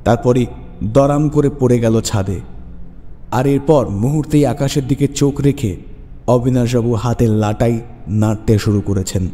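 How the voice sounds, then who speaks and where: Mellow male horror narrator with long reverb. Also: medium pitch, unhurried. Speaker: narrator of a radio story show. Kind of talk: scripted dramatic horror story narration. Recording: long reverb over music. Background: background music.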